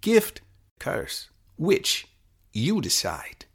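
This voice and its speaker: Man acting a slow, halting, confused teenage boy. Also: a little high, wildly swooping pitch, a bit bright, breathy edge, full character voice. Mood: musing, conflicted. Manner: fragmented, self-correcting, halting musing. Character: confused, fatalistic teenage boy narrator, an eleventh grader. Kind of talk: first-person dramatic short-story performance.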